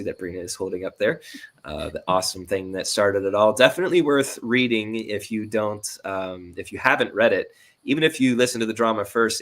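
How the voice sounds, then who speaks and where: A man's voice: on the low side, fairly bright tone. A man talking casually and informally as a guest, explaining things in a rambling, friendly way. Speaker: guest, audio drama producer. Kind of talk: casual explanation of crowdfunding rewards.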